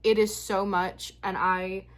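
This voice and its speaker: A young woman who hosts a spooky story channel, chatting frankly and then reading a listener's tale aloud, her voice starting to go hoarse. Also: mid-range voice, slow. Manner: chatty, frank and a bit frazzled when talking, steady when reading. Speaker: horror story channel host. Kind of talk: casual chat and reading listener stories aloud.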